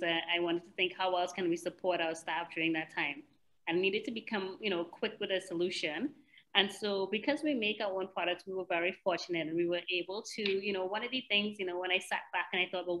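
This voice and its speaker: A middle-aged woman tells her story to a business audience. Her voice is bright and forward at an ordinary pitch, and her delivery is chatty and self-deprecating. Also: rough recording. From Trinidad and Tobago. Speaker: guest speaker, spa owner. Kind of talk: business presentation.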